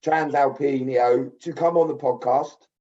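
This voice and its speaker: A man hosting a casual football podcast, speaking in a measured, neutral way. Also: mid-range voice, steady tone, mellow. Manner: brief interjections, measured and neutral. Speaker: podcast host. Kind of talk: casual chat. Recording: dull lo-fi sound.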